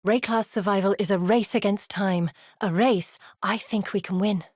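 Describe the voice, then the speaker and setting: A woman's voice: mid-range voice, steady tone, normal speed, husky, dry recording, lightly acted. Actress as determined exiled researcher woman, close dry recording. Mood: earnest, hopeful. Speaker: video game quest-giver character. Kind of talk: scripted video game voice acting.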